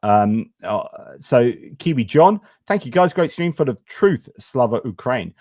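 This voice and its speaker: A man in the middle of his usual pitch hosts a live stream, and his voice sounds close and dry. He reads viewer comments aloud, rambles and keeps cracking jokes about himself. He is a little loose after a few glasses of wine. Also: big pitch swings, unhurried, darkish tone, no room sound. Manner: joking, rambling, self-mocking. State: tipsy from wine. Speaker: livestream host reading viewer comments. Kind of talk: casual live chat.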